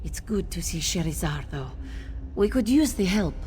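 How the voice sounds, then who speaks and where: A woman voicing a gentle, modest cat-folk fungus grower in a video game, speaking in a measured, matter-of-fact way. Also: fairly low pitch, expressive, slight background noise, close to an everyday voice. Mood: mildly doubtful. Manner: speaks of self in third person, measured. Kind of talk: video game character dialogue.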